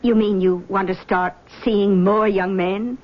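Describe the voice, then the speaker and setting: A woman's voice: medium pitch, fairly even intonation, measured pace, close to an everyday voice. An actress playing an overbearing, worried middle-aged mother in a vintage radio drama, fussy and reproachful. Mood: fretful. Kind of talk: 1970s radio drama acting. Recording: dull old radio broadcast with faint hiss.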